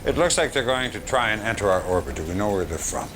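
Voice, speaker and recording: A man's voice: lowish voice, animated, quick pace, natural voice. A man playing a curt, demanding military commander in video game cutscene dialogue. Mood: curt, concerned. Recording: clear room noise behind.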